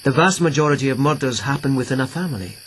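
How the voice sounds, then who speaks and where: A man's voice: medium pitch, expressive, quick pace, slight background noise, natural voice. An actor playing an earnest young policeman who presses his lover with urgent warnings, in a radio drama. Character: Lieutenant Yukinari, earnest, protective policeman lover. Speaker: lead character. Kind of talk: BBC radio drama acting.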